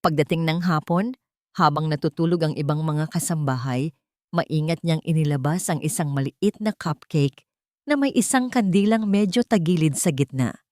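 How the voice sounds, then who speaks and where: Low-pitched Filipino woman narrating a dramatic story. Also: a little mellow, no room sound, studio quality. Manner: dramatic, deliberate delivery in short phrases. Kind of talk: scripted dramatic narration of a Tagalog audio drama.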